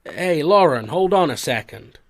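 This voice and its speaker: Creaky-voiced, earnest boss played by a man. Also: on the high side, expressive, measured pace. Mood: earnest, concerned. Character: Russell, protective, earnest investigations boss, man. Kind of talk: audio fiction acting.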